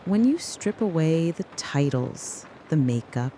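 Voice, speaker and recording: A narrator delivering a scripted, dramatic late-night soliloquy in a deliberate, brooding way, speaking straight to the listener. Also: mid-range voice, sing-song, dark tone, slight background noise, rough recording. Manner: slow, deliberate, brooding second-person address.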